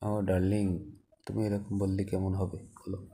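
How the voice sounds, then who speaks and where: A man's voice: sits low, monotone, a little slow, dark tone, slightly rough. A man with a Bangladeshi accent in a casual, intimate phone chat, speaking in a flirtatious, coaxing way. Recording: noisy, dull phone call recording.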